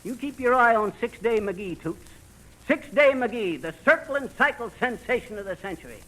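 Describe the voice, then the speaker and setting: A man's voice: extremely high pitch, animated, normal speed, sharp tone, heavily acted. An actor playing a boastful, bumbling middle-aged man in a 1940s radio comedy, folksy and slangy. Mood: boastful, cocky. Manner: folksy slangy bragging with chuckles. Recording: noisy vintage 1940s radio recording.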